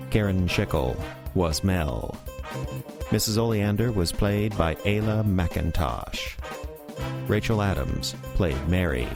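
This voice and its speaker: A man announcing the scripted closing credits of an audio drama. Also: deep voice. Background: music.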